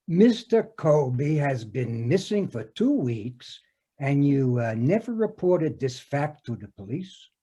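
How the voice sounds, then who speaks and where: A man reads a patient police detective who stops often to hesitate. His voice is mellow and unhurried, with a lively rise and fall in pitch. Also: slightly rough, lo-fi sound, exaggerated voice. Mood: probing. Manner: halting, full of "uh" fillers. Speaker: reader in an amateur readers theater performance. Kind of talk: comic readers theater scene read over a video call. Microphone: home video call mic.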